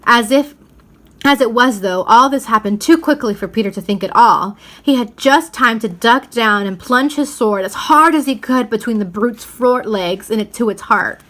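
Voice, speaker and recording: A woman reads a children's novel aloud in an expressive storytelling style, leaning into the dramatic words. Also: a little high, moderate pace. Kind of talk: storybook read-aloud narration.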